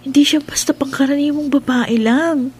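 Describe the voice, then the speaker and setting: A woman's voice: sits high, fairly even intonation, moderate pace, a little mellow, a little hiss or hum, close to an everyday voice. An actress playing a frightened young woman in a horror radio drama, telling a friend about a ghost she saw. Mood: uneasy.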